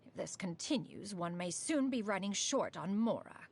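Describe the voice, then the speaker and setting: A woman's voice: medium pitch, lively intonation, normal speed, gravelly, a little mellow, slightly rough. A woman voicing a serene, ageless immortal crane woman in a game, speaking formally and calling herself "one". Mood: formal, bemused. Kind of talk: game cutscene voice acting.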